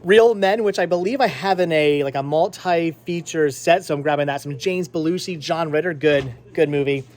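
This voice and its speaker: A man's voice: fairly high pitch, normal speed, few pauses, a little mellow. A man hosting a casual vlog, chatting in a rambling way and repeating words for emphasis. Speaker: vlogger host. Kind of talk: casual vlog chat to camera and friends.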